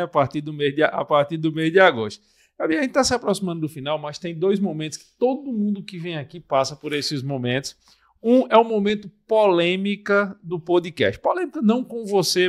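A man hosting a studio podcast, prompting his guest in a chatty way at a desk boom mic. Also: lively intonation, a little fast. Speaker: podcast host. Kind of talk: podcast interview. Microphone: desk mic on boom arm.

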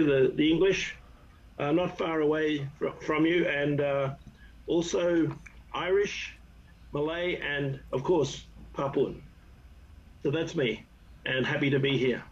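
A man introducing himself as a guest on a video call in a modest, hesitant manner. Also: on the high side. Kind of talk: casual video call chat. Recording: dull video call audio with faint noise.

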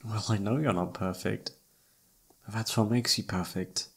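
A man playing a self-doubting, lovestruck best friend in an intimate ASMR roleplay, speaking softly and haltingly with tender hesitation. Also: fairly low pitch, fairly even intonation, slightly rough. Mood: self-doubting, regretful. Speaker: amateur voice actor.

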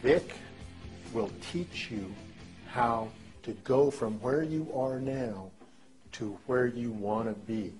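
A man's voice: mid-range voice, slow pace. Man, eager testimonial. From Canada. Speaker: former pipe fitter, testimonial. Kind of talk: testimonial to camera. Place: indoors, high-rise room with window view. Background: soft music.

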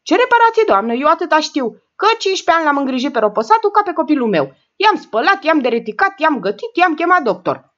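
A woman's voice: high voice, big pitch swings, hardly any pauses, fairly bright tone, lo-fi sound, voice pushed up. A woman reading aloud and voicing a blunt working-class caretaker who chatters indignantly.

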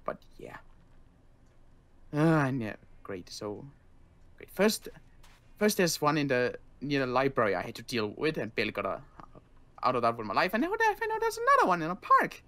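A man playing a weary, wary zombie-apocalypse survivor in an improvised game roleplay, halting, sarcastic and guarded. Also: on the high side, huge pitch swings, bright, forceful tone, heavily acted. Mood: exasperated, sarcastic.

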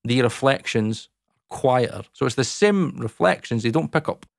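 Scottish man, thirties, dark boomy voice, chatty and loose, dry close-mic sound. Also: animated, normal speed, vocal fry, dry recording. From Scotland. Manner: chatty, lots of fillers, talks with his hands. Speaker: YouTube audio educator. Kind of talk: casual explainer talk to camera. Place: small home studio, indoors. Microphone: large dynamic mic close in front.

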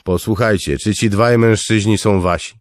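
A man's voice: lowish voice, steady tone, normal speed, no room sound, studio quality, pitched above the performer's own voice, close to an everyday voice. A man voicing a firm, weary city guard captain in an audiobook, insistent and exasperated.

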